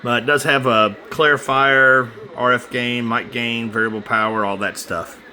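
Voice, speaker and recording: A man's voice: mid-range voice, steady tone, normal speed, sharp tone. A man casually showing off used radio equipment, unscripted, in a hesitant, rambling way and often correcting himself. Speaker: seller demonstrating used radio gear. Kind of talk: casual unscripted product demo. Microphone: handheld phone. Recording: clear background noise on handheld phone.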